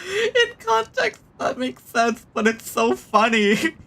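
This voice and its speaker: A woman streaming a game, giving live, expressive, exclamatory reactions as she plays. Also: fairly high pitch, slow pace, vocal fry. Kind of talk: live gameplay reaction commentary. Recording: game music behind the voice.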